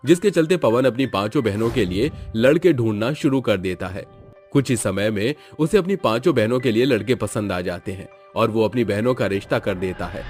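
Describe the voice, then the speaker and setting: A man's voice: medium pitch, darkish tone. A man narrating a scripted cartoon family story.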